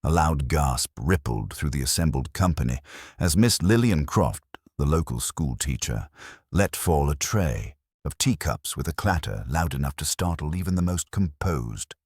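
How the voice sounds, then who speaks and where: A man with an extremely deep voice narrates a scripted mystery story. His intonation moves about a good deal within a measured, literary delivery. The sound is close and dry, with little room to it. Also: expressive, darkish tone, husky, no room sound.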